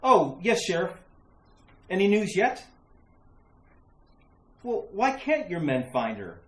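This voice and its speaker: A woman's voice: lowish voice, sing-song, slow. A woman playing an anxious, hesitant husband searching for his missing wife in a retro radio mystery. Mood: worried. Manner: hesitant, stammering, urgent. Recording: roomy sound with faint noise.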